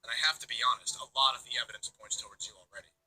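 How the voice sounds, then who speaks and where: Stern wry detective in a visual novel game, raspy and really hard-edged, matter-of-fact. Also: male voice, mid-range voice, steady tone, racing, pushed and bright, poor audio. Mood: firm. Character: stern, wry male police detective, controlled and authoritative.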